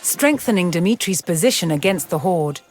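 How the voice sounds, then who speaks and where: A narrator delivering scripted, documentary-style storytelling for a game in a measured, dramatic manner. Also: medium pitch, lively intonation. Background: music.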